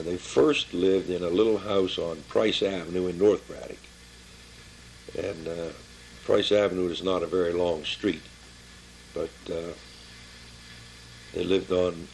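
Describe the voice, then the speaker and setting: An elderly American man in his seventies or older reminiscing informally about his life, halting and plain-spoken as he searches his memory. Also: low-pitched, vocal fry, a little mellow, slight background noise, rough recording. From Pittsburgh area, Pennsylvania, United States. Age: 70s or older. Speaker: family member recording his life story for a relative.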